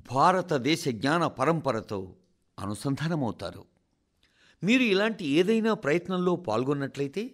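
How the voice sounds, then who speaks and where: A man reads a formal scripted radio address as a presenter, in a measured, rhetorical way that speaks directly to listeners. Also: on the high side. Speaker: radio presenter reading a translated national address.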